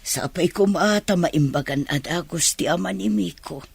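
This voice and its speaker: A woman's voice: on the low side, moderate pace, vocal fry, slightly rough, no room sound, full character voice. A woman with an Ilocano accent playing a caring, pious middle-aged mother in a Filipino radio drama. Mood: concerned.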